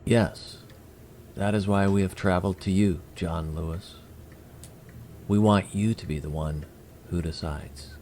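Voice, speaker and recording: A man playing a courteous alien envoy in an audio drama, speaking formally and deliberately with precise wording. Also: deep voice, steady tone, dark tone, slight background noise. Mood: formal, firm. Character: courteous, measured male alien envoy from Kree. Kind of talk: scripted audio drama acting.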